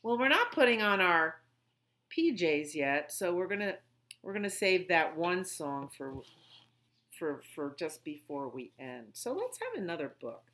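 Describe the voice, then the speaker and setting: A woman with a fairly low voice hosts storytime for little children. She reads and explains slowly and simply, with very lively, swooping intonation. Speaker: children's library storytime host. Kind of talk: storybook read-aloud and songs for young children.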